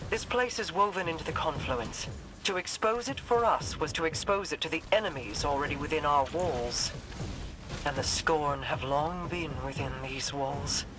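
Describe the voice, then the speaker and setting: A woman's voice: low voice, normal speed, background noise, lightly acted. A woman playing a regal, enigmatic immortal queen in a video game, composed and formal in her delivery. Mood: grave.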